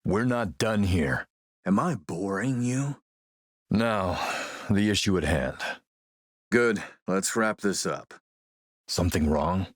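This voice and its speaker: A cold, ruthless militia commander in his middle years. The voice is rough and husky, pitched on the low side and recorded close and dry. He speaks in a measured, threatening way, as one used to giving orders. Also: dry recording. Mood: quiet threat. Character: ruthless middle-aged male militia warlord, cold and menacing. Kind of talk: scripted video game character lines.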